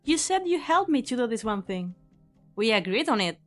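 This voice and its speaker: A woman's voice: fairly high pitch, big pitch swings, moderate pace, faint music behind. A woman playing a cynical, sly young mercenary leader in an anime game fan dub, with a sardonic, taunting delivery. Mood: cool, sly.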